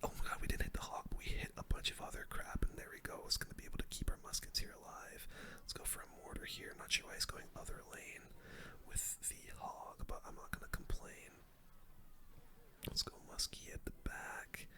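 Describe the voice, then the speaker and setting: A person giving whispered ASMR commentary on a game, calm and breathy, muttering a running play-by-play close to the microphone. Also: fairly quick. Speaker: gaming streamer.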